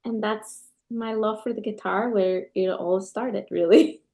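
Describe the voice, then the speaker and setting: A woman's voice: mid-range voice, fairly even intonation, unhurried, warm tone. A woman from Peru, a guitarist and scholar in her thirties, answering interview questions with enthusiastic storytelling. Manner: enthusiastic, storytelling with occasional restarts.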